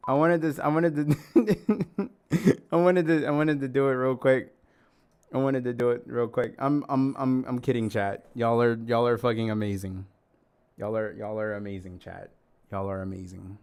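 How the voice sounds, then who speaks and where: Rambling hoarse male streamer, gravelly. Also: mid-range voice, steady tone, normal speed. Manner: loud, rambling, profane, jokey. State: losing his voice. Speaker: livestreamer. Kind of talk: casual stream chat to viewers.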